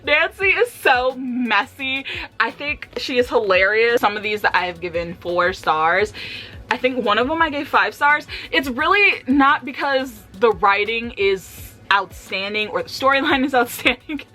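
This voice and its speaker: A woman chatting casually and candidly about books for a vlog, with an animated, slangy, giggly way of talking. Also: a little high, measured pace. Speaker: book reviewer vlogger.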